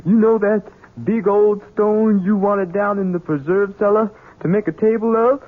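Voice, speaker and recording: An anguished simple servant. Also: male voice, sits high, fairly even intonation. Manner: halting, anguished. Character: simple-hearted servant son, gentle, desperate. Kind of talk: 1970s radio drama acting. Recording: phone-like narrow old radio broadcast.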